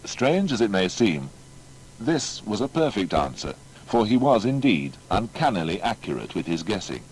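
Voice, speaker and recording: Male narrator for audio biography, very low and animated, formal emphatic storytelling, faint noise behind. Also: moderate pace, low-quality recording. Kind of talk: scripted documentary biography narration.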